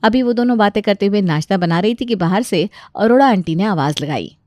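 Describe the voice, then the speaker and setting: A woman's voice: mid-range voice, lively intonation, a little fast, a little mellow, dry recording. Female storyteller, children's tale narration, very dry sound.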